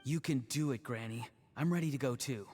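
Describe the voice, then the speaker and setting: A man's voice: mid-range voice, brisk, a little mellow, lightly acted. A man voicing an easygoing young grandson in a video game, casual, teasing and self-deprecating. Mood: eager. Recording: music behind the voice. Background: game music.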